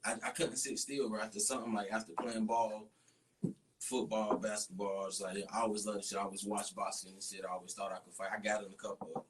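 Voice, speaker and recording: A man's voice: on the low side, little pitch movement, brisk. Male, American, slangy and profane podcast guest, casual and blunt, recorded off-mic with faint noise. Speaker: MMA fighter, podcast guest. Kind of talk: casual chat. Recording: distant mic, noisy room.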